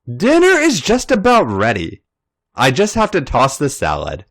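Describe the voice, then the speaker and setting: This is a friendly young woman hosting a girls' night, speaking gently with a hint of hesitation, voiced by a man who lifts his pitch. Her lines rise and fall with lively movement. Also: measured pace, exaggerated voice.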